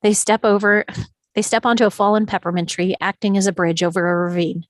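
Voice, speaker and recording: A woman's voice: medium pitch, steady tone, fairly quick, dry recording. Dry-sounding woman narrating a script. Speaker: narrator reading stage directions. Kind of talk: scripted narration, live table read.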